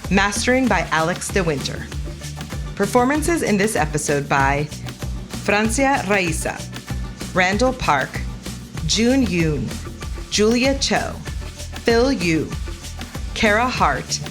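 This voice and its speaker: A woman's voice: medium pitch, very animated, slow, long pauses. A woman announcer reading scripted credits for a production in a narration style. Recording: music behind the voice.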